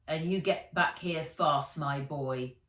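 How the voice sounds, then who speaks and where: A woman's voice: deep voice, slow pace, a bit bright. A woman voicing a bossy, irritable old grandfather in a children's storybook reading. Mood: commanding. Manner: commanding, irritable. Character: bossy, grumpy old grandfather giving orders. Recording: roomy, distant from the mic.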